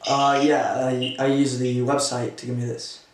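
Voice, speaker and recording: A man's voice: mid-range voice, flat delivery, a little slow, far from the mic. A young man, chatting casually in an informal, slangy way as a vlogger. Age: young adult, late teens. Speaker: vlogger making prank calls. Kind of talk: casual chat to camera and on the phone. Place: small indoor room at home. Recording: roomy, off-mic, music behind.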